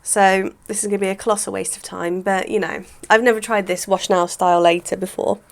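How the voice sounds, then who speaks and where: Chatty woman, slightly croaky. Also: medium pitch, fairly even intonation, brisk. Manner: chatty, rambling, lots of "sort of". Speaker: hair vlogger. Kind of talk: casual how-to vlog.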